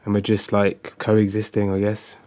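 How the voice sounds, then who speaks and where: A man's voice: fairly low pitch, monotone, normal speed, a little mellow, close to an everyday voice. A man playing a disappointed, withdrawn academic husband, speaking hesitantly and guardedly in a naturalistic audio drama. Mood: lonely, resigned.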